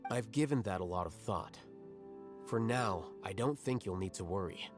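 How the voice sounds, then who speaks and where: A man voicing a young scholar from a video game, calm and analytical. His voice sits low, and he lays out each point in measured, articulate steps, with music playing faintly behind him. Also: lightly acted. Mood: composed, explanatory. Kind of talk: scripted video game dialogue.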